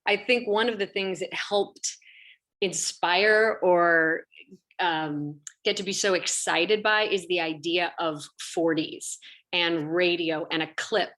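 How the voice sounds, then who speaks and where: A woman chatting casually as a panel guest, telling things in an expressive, animated way. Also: fairly low pitch, slow.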